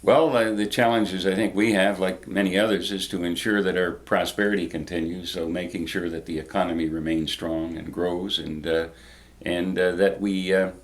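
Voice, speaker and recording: Low-voiced older man, hesitant interviewee. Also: fairly even intonation. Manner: hesitant, measured phrasing with frequent pauses. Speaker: interview guest, former regional politician. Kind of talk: considered interview answers.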